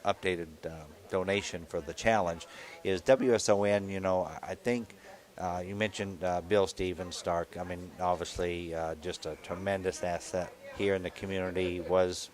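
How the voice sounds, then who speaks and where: A man in his sixties co-hosting a live radio telethon, talking in a halting way with many fillers and restarts. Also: low-pitched, fairly even intonation. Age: 60s. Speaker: telethon co-host.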